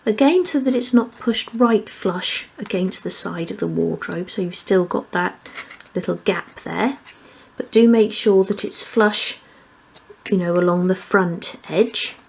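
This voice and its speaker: A woman's voice: medium pitch, measured pace, vocal fry, a little mellow, slightly rough. A woman presenting a hands-on craft tutorial, explaining each step methodically. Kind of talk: hands-on step-by-step instructional demonstration.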